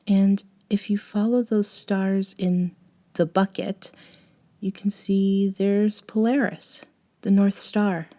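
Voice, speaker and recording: Girl acting a sweet caring young woman for asmr roleplay, real soft n slow, warm mellow voice, kinda hesitant, half whispering. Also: mid-range voice, little pitch movement, close to an everyday voice. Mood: soft, thoughtful. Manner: soft, hesitant, often sighing and dropping to a whisper. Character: gentle, caring young woman with a wistful, guilty streak. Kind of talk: intimate ASMR roleplay audio drama.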